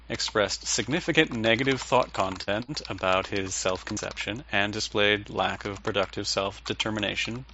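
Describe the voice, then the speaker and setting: A man acting as a blunt, sardonic therapist with a dry, probing way of talking in an audio drama. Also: fairly low pitch. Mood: clinical, dry. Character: blunt, sardonic, unorthodox therapist man, older than he looks. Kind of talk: audio drama dialogue and dictated case notes. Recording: muffled with loud background noise.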